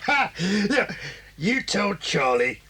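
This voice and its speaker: A bossy, menacing gang leader. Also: male voice, high voice, big pitch swings, takes it slowly, bright, forceful tone, full character voice. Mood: scheming. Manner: bossy, menacing, barking orders. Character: gruff adult male crook leading a gang.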